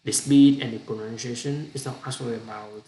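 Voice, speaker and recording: A young man with a Vietnamese accent, a non-native English speaker, gives an unscripted practice monologue with halting speech, frequent restarts and repeated words. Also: mid-range voice, fairly even intonation, dark tone, reverberant, slight background noise, poor audio. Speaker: student practicing spoken English.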